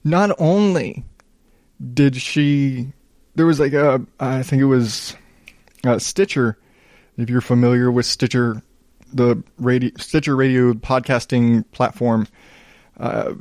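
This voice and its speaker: A man hosting a solo podcast in a smooth voice, chatting casually in a low-key, rambling, self-deprecating way with some swearing. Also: fairly even intonation, slow pace, long pauses, darkish tone, no room sound. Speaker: podcast host.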